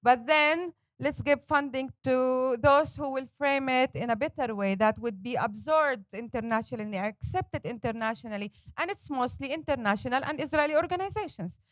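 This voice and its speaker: A woman's voice: high voice, normal speed, hardly any pauses, no echo. A woman, speaking passionately and emphatically as a panelist and organizer at a forum. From Palestine. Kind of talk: panel talk.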